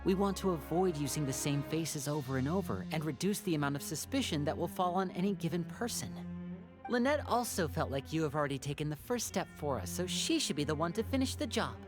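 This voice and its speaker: An actor playing a composed, watchful young man in a video game, speaking politely and deliberately, with music behind. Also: fairly high pitch, animated, natural voice. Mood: composed, businesslike. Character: composed, courteous, watchful young man, protective older brother.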